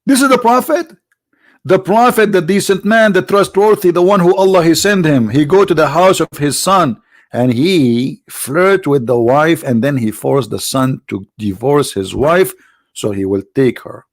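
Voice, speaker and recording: A man hosting a polemical livestream with a slight cold, talking in a mocking, rhetorical way that swings between loud emphasis and low asides. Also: unhurried, no echo. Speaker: host of a religious debate channel.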